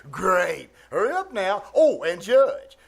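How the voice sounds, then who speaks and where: A man's voice: high voice, sing-song, slow, creaky, husky, full character voice. An actor playing a pompous, jovial middle-aged bachelor uncle in 1940s-style radio comedy, chuckling and hemming as he goes. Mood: jovial, wheedling. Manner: blustering, chuckling, hemming and hawing. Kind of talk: 1940s radio comedy acting before a live audience. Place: small-town theater stage.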